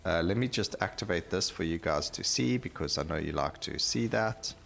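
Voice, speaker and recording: A man's voice: sits low, lively intonation, normal speed, gravelly, a bit bright. A man giving casual gameplay commentary, thinking aloud and rambling as he plays, with game music behind him. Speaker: gaming video host.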